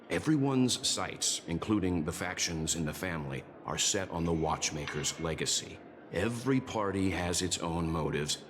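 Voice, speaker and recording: A male actor playing a composed, analytical investigator in a sci-fi game, speaking in a deliberate, formal, articulate way. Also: low-pitched, lightly acted. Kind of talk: dramatic game dialogue, measured exposition. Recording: loud music behind. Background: game music.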